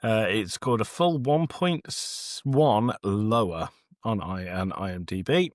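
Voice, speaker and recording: Animated, lowish British man hosting. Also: takes it slowly, dry recording, clean studio sound. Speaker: podcast co-host running a quiz. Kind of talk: casual quiz hosting.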